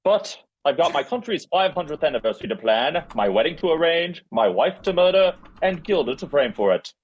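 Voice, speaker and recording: A man voicing a smug, scheming, murderous prince at a screenplay table read, unhurried and self-satisfied. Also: fairly high pitch, sing-song, dull audio.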